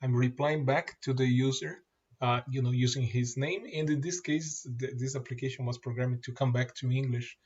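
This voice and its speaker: Male presenter, native Portuguese speaker, demoing software, mid pitch, halting delivery, muffled recording. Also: fairly even intonation, slightly rough. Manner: halting, many fillers and restarts. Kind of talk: screen-share product demo.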